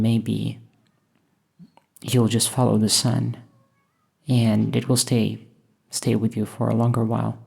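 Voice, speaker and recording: A woman from Russia, thoughtfully talking over her painting process in a hesitant, musing way with many pauses. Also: rumbling low, gravelly, mellow. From Moscow, Russia. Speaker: painter and channel host. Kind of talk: reflective voice-over while painting.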